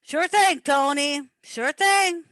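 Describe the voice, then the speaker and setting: Woman playing a high, bright, chatty mobster sidekick. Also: takes it slowly, no room sound, studio quality. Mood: placating. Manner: rambling, hesitant, placating wiseguy patter. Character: talkative, deferential male mobster sidekick. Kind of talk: amateur old-time radio comedy play.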